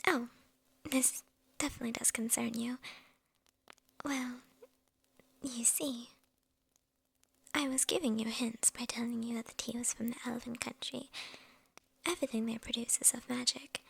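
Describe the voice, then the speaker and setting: A woman acts out a possessive witch in an intimate ASMR roleplay. Her voice is a breathy, fairly high whisper with a strong creak in it. She speaks coyly to the listener, amused and slyly menacing. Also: fairly even intonation, a bit bright, exaggerated voice, rough recording. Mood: smug, explaining. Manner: unhurried, coy, amused, addressing the listener intimately.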